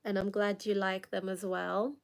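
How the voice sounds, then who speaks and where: A young woman speaks with a Kenyan accent, chatting casually and reading comments aloud. Her voice sits in a middle pitch for a woman. A lingering cold leaves her coughing now and then. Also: little pitch movement, moderate pace, creaky. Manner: chatty, laughs often, reads viewer comments aloud. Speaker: livestream host. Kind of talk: casual late-night livestream chat.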